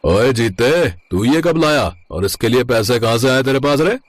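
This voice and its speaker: A man plays an honest truck driver turned cook in a story cartoon. He sounds gruff and plain-spoken, with middling pitch and a dry, close recording. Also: lively intonation, moderate pace, dry recording, lightly acted. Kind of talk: animated moral story dialogue.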